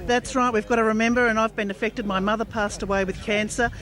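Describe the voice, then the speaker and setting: An Australian woman with a high voice answers questions as a local mayor. Her delivery is informal and a little halting, correcting herself as she goes. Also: steady tone, fairly bright tone. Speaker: mayor, interview guest. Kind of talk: casual on-location interview. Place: outdoors at a community fundraising event.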